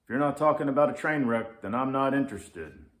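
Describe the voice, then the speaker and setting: A man's voice: mid-range voice, animated, a little fast, pitched above the performer's own voice, natural voice. A man plays a grumpy, hyper-vigilant lynx from a children's storybook. He scolds impatiently and emphatically, in a raised voice that swoops about. The room adds a noticeable echo. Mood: annoyed. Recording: roomy echo.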